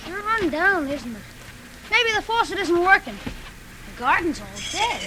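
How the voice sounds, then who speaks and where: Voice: very high voice, big pitch swings, moderate pace, noisy background. A performer playing an earnest, worried young boy in an old radio drama, with a plain, boyish manner. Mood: wary. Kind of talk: 1940s radio acting.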